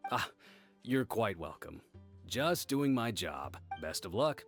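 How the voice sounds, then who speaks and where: An actor playing a helpful, dutiful village guard in a video game. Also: male voice, lowish voice, big pitch swings, takes it slowly, faint music behind, exaggerated voice. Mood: helpful, thoughtful.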